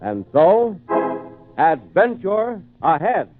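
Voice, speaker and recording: Old timey radio announcer guy, highish animated voice, dramatic, thin tinny recording. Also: extremely slow. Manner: grand, theatrical. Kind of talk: 1940s radio announcing, scripted narration. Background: organ music.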